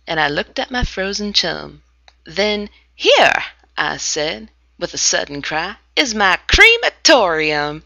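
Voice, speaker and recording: A woman reading a narrative poem aloud, dramatic, with hushed whispered passages. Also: a little high, vocal fry, dry recording, muffled recording. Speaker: amateur reader.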